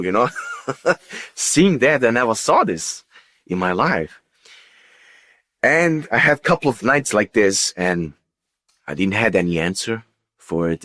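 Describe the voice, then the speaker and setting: Deep-voiced man giving personal testimony. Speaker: guest sharing testimony. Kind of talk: personal religious testimony, casual storytelling.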